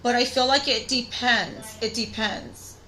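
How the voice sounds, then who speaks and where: A woman in her thirties with a raspy, tired voice, chatting casually to viewers as she reads tarot cards. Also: fairly high pitch, fairly even intonation, measured pace, sharp tone, a little hiss or hum, rough recording. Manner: chatty, talks straight to viewers. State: tired voice from singing. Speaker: tarot reader. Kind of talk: casual spiritual reading.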